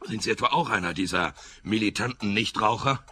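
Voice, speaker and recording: An actor playing a smug, blustering lobbyist in a radio play, husky and insistent, with hesitant openings. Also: male voice, lowish voice, fairly quick, pushed and bright. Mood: indignant. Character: smug male tobacco lobbyist, blustering and alarmist. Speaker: client of a private detective.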